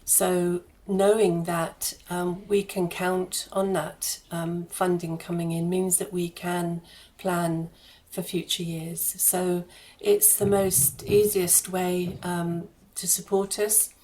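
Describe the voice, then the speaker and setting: A woman with a fairly low voice talks as a guest in a radio interview. Her intonation stays quite level. She explains her charity work earnestly, pausing and hesitating as she finds her words. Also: flat delivery, mellow, poor audio.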